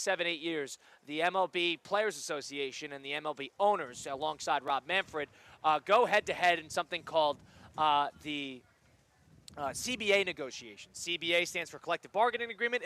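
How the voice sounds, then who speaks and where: A young man in his twenties hosting a casual sports radio show, animated and rambling, quick to laugh. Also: fairly high pitch, no room sound. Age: 20s. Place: radio studio.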